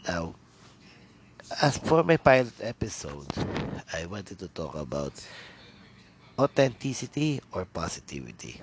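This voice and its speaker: A man's voice: deep voice, sing-song, measured pace, long pauses, a little hiss or hum. A Filipino man whose first language is Tagalog, hosting a solo podcast in English, rambling with many fillers and restarts. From Philippines. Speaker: podcast host.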